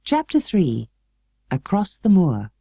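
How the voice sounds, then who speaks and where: British lady audiobook narrator, mellow tone, expressive scripted reading, really dry studio sound. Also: fairly low pitch, slow pace, slightly rough, no echo. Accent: British English.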